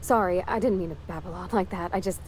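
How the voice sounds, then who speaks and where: A woman's voice: on the low side, fast talker, a little mellow, slight background noise, natural voice. A woman voicing an earnest, kind-hearted young colony mechanic in a video game, hesitant and apologetic, with folksy phrasing. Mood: sheepish, touched. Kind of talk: naturalistic video game dialogue acting.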